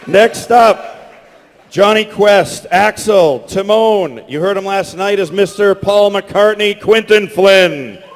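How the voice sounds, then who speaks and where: A man's voice: sits high, slow, slight background noise. A man hosting a live convention panel over a stage microphone, projecting to hype up the crowd. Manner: hyping the crowd, projecting loudly. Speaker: panel host and creator. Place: large convention hall stage. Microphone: stage microphone through PA. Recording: echoey convention hall PA, long reverb.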